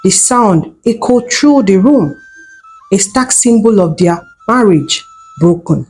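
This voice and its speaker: A woman's voice: mid-range voice, expressive, slow, a little mellow, faint music behind. A woman telling a folktale as a storyteller, in scripted narration.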